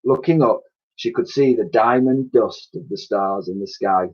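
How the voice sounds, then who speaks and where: A man's voice: lowish voice, measured pace, breathy edge. A man reading a children's story aloud with expression, on a dull home recording. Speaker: story reader.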